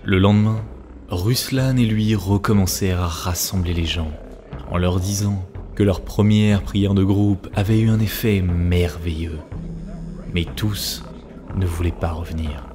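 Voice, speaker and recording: A man's voice: low-pitched, steady tone, a little slow. A man narrating a scripted story for a cartoon, as a storyteller. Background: music.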